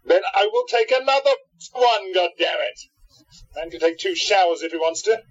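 A man's voice: sits high, normal speed, muffled recording. A man playing a weary, irritable, sardonic hero in a radio play, with dry sarcasm. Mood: irritated.

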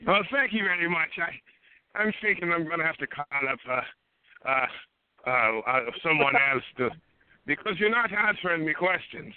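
A gold-obsessed old Irishman with a put-on Irish accent, as a man puts him on over the phone insists and frets in a theatrical, wavering lilt. His voice sits high and leaps all over the place. The sound is tinny and dry, like a phone line. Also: expressive, normal speed, clear, resonant tone, no echo, close to an everyday voice. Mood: insistent. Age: older. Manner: insistent, confused, calls others "lassie". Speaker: caller. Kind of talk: prank call. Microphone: telephone.

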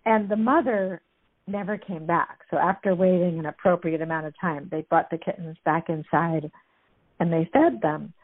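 A woman's voice: on the low side, normal speed. A woman chattily retelling stories as a guest, amused, with many fillers. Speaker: guest discussing a book. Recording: tinny phone-like line.

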